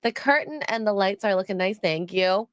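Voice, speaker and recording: A woman's voice: mid-range voice, animated, normal speed, no room sound, studio quality. Stuffy-nosed woman hosting a stream. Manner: rambling with many ums and uhs. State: nasal from a cold. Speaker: stream co-host. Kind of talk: casual livestream chat, reading donations.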